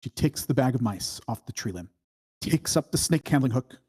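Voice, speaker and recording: A narrator reading screenplay stage directions at a table read in measured, deliberate short phrases. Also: mid-range voice, animated, darkish tone, breathy edge. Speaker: screenplay reader.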